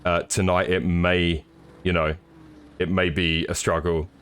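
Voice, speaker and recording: A middle-aged man commentating a sim race on a live stream, slightly hoarse, relaxed and joking with many fillers. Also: low voice, a little slow.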